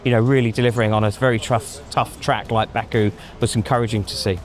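A middle-aged man giving measured opinions in a casual outdoor chat, thinking aloud with frequent ums, on a lapel mic. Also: lowish voice, steady tone. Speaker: pundit. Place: outdoors in a racing paddock at night. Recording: faint outdoor street ambience.

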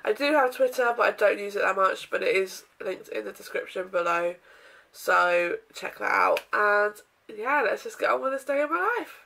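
A young woman vlogging casually from her bedroom, with a croaky voice from a sore throat, chatting in a rambling way with lots of ums and throat clearing. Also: medium pitch, normal speed, sharp tone. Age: young adult. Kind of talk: casual day-in-my-life vlog. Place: bedroom, indoors.

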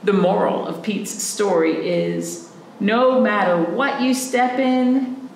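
A woman's voice: mid-range voice, takes it slowly, creaky. A woman in her forties hosting storytime like a children's librarian, talking and reading to young children with simple, encouraging questions, recorded indoors a little way from the camera. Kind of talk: children's storytime talk and picture-book read-aloud. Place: small indoor room, camera a few feet away. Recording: roomy echo, faint background noise.

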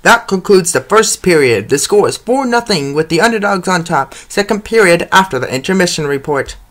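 Guy doing play-by-play for a hockey comic dub, kinda high voice, really up and down, short announcer lines. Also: lively intonation, normal speed, fairly bright tone. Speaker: narrator and creator of a comic dub series. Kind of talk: scripted narration and play-by-play of an animated hockey comic, reading every part.

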